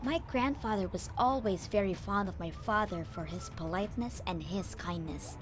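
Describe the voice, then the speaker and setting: A woman's voice: mid-range voice, normal speed. Woman narrating a story over music.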